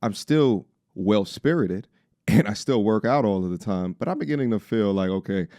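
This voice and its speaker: A man's voice: fairly low pitch, lively intonation, moderate pace, mellow, no echo, clean studio sound. A man in his forties giving opinionated reaction commentary in an emphatic, preachy manner, often posing rhetorical questions. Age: 40s.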